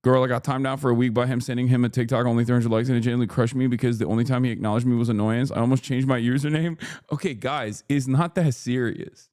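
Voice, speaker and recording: A man in his thirties from Turkey, streaming and riffing on chat messages in a loud, joking, slangy way with plenty of swearing. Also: medium pitch, fairly even intonation, fast, no echo, clean studio sound. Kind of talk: casual livestream chat.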